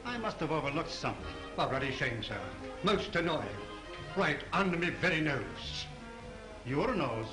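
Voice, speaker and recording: A fussy, deferential chauffeur, as played by an actor. His voice sits a little high and swings lively up and down. Music plays under the old film soundtrack. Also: moderate pace, reverberant, lightly acted, low-quality recording. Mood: apologetic.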